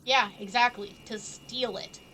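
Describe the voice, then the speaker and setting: A woman's voice: a little high, steady tone, unhurried, a crowd in the background. A woman giving casual, playful live-stream gaming commentary with sarcastic asides. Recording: game music behind the voice. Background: game music.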